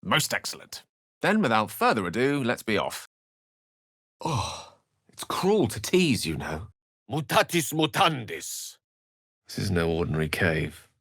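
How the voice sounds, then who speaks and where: A man's voice: on the low side, very animated, unhurried, pauses often, a bit bright, slightly rough, dry recording, studio quality, heavily acted. A man voicing an eloquent, bookish wizard in a video game, articulate and theatrical, with ornate scholarly phrasing and a wry streak. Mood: courteous, wry. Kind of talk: scripted video game character dialogue.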